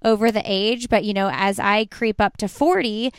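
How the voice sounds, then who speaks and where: A thirty-seven-year-old woman hosts a podcast in a relaxed, chatty way, asking her guest questions. Her voice is smooth and clear, recorded dry and close. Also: mid-range voice, moderate pace, no room sound. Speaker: podcast host. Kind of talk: casual interview chat.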